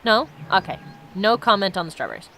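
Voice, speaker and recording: A woman's voice: medium pitch, sing-song, brisk, bright, forceful tone, a little hiss or hum. A woman giving casual game commentary, with wry asides between bits of dialogue she reads aloud. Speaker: let's play host.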